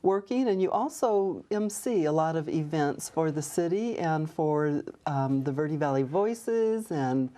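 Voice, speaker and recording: Low-voiced woman hosting a local TV talk. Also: animated, dark tone. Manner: measured, with frequent ums. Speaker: host, city mayor. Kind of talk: local TV interview. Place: TV studio.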